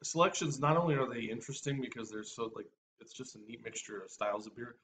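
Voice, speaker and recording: A man's voice: medium pitch, fairly even intonation, fast, fairly bright tone. A middle-aged man co-hosting a casual beer review, easygoing and stuffy-nosed. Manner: easygoing, laughs often. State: stuffy nose. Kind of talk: casual chat. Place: small room with shelves of beer bottles. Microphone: webcam recording from a distance. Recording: distant webcam sound with faint hiss.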